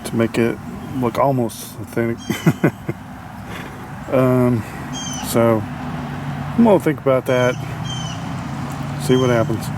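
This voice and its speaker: A man giving a casual, unscripted hands-on repair demonstration, halting as he thinks aloud. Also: mid-range voice. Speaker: hobbyist radio restorer demonstrating a repair. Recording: clearly noisy amateur video sound.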